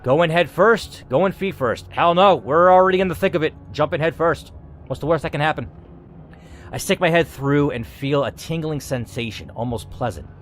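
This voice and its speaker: A man's voice: fairly high pitch, moderate pace, a little hiss or hum. A man giving a dramatic, theatrical reading of a scripted story, as a gaming host narrating aloud. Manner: theatrical, expressive reading with asides.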